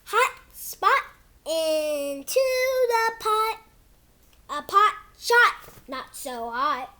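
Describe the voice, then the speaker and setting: A child hosting a read-aloud of a picture book, reading haltingly like a beginner and drawing words out. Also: high voice, big pitch swings, bright, forceful tone.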